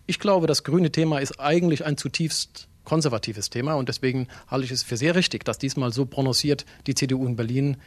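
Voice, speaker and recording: A man's voice: mid-range voice, fairly quick, hardly any pauses, dry recording. A male politician with a mid-range voice explains his views earnestly. The sound is dry and close. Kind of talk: interview statement.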